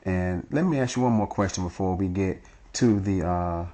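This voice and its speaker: A man hosting a casual interview, relaxed and chatty, who chuckles at his own jokes. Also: low voice, normal speed. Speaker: radio show host.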